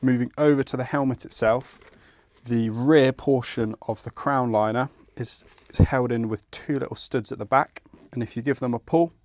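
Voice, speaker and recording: A man's voice: medium pitch, fairly even intonation, moderate pace, darkish tone, a little hiss or hum. A man presenting an installation tutorial, explaining each step in a methodical, informal way. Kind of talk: instructional step-by-step tutorial narration.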